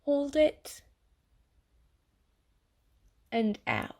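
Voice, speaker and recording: A woman's voice: high voice, huge pitch swings, drawn-out words, gravelly, a little mellow, exaggerated voice. A woman playing a chatty, sarcastic, easily flustered AI program in an audio drama, talking casually and stammering when rattled. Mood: flustered, urgent. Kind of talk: audio drama monologue in casual second-person address.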